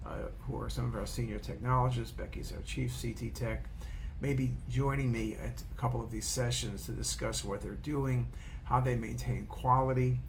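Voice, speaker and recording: A man delivers an informal, unscripted lecture as a medical educator. His voice sits at a medium pitch with a noticeable creaky, gravelly edge. He rambles a little and circles back to repeat key phrases, over faint room noise. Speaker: radiologist and educator.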